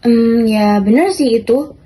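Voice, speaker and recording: A woman's voice: on the high side, unhurried, warm tone. A teenage young woman, reading scripted educational content as a student podcast presenter. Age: teens, high school student.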